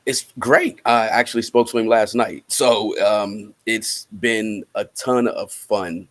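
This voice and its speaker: Man, American accent, lower pitch, casual interview guest, halting delivery, thinking aloud. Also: a bit bright, no echo. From Cincinnati, United States. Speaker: interviewed musician and rapper.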